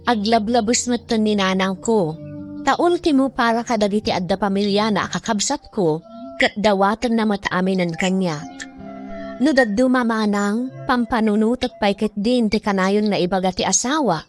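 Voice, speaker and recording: A woman's voice: mid-range voice, moderate pace, faint music behind, close to an everyday voice. An actress playing a burdened woman who works abroad to support her family, confiding in a radio drama monologue. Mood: weary, confiding.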